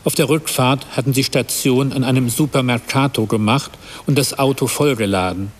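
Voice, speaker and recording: A man's voice: mid-range voice, normal speed, lightly acted. An actor playing a troubled husband and father, narrating a radio play as a reflective, self-reproachful confession. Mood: rueful, brooding. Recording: long reverb, faint background noise.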